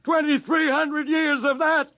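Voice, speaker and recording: An actor playing a strained, commanding spaceship captain with a terse, dramatic delivery in a vintage radio play. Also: male voice, extremely high pitch, little pitch movement, measured pace. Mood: bitter irony. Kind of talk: mid-century radio drama acting. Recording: dull lo-fi old radio recording.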